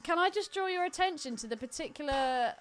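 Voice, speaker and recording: A woman's voice: sits high, animated, brisk, fairly bright tone. A British woman co-hosting a film podcast in casual chat, with a cheeky, teasing, bawdy way of talking. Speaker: podcast co-host.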